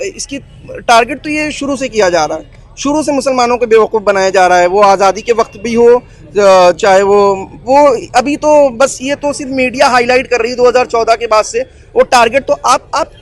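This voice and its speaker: A man giving a spontaneous, argumentative opinion in an interview, repeating himself and searching for words. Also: very high voice. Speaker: street interviewee. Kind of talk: spontaneous opinion, Hindi mixed with English.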